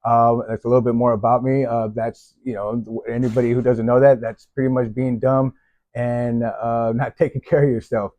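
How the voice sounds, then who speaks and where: A man's voice: mid-range voice, flat delivery, normal speed, no echo. A middle-aged man from Texas, vlogging in a rambling, casual way with many fillers and restarts. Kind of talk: casual faith-based vlog intro. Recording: dull, dry lo-fi sound.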